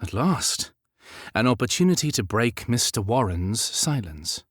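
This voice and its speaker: A man reading a historical romance audiobook in a measured, expressive storytelling manner. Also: medium pitch, breathy edge, dry recording, clean studio sound. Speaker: audiobook narrator. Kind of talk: scripted literary narration of a historical romance.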